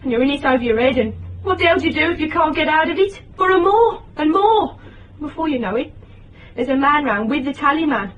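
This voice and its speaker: An actress playing a frightened young British single mother in radio drama, speaking in a halting, rambling way. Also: high voice, expressive, fairly bright tone, distant mic, muffled recording. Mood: distressed, desperate. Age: young adult.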